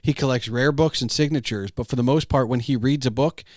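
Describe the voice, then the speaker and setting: A man's voice: medium pitch, a little fast, no room sound, clean studio sound. A man hosting a podcast in a casual, informal way, talking emphatically and repeating his phrases. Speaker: podcast host. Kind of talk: casual chat.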